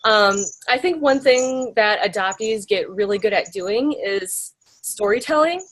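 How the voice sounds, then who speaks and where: A woman's voice: mid-range voice, measured pace, a bit bright, low-quality recording. A young woman telling her story in an interview, chatty and full of fillers and rhetorical questions. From United States. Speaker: interviewee, graphic designer.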